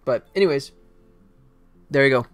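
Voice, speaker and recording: A man's voice: mid-range voice, normal speed. Middle-aged male, indie dev streamer. Loose, self-deprecating rambling, music underneath. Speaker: indie game developer livestreamer. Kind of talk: casual livestream chat. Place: indoor room at a computer. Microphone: desktop microphone.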